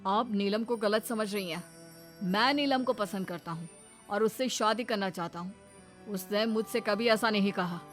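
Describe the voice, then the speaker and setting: A woman's voice: mid-range voice, moderate pace, faint music behind, close to an everyday voice. A woman voicing an earnest, determined young man courting in a cartoon, polite and sincere. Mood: polite, insistent.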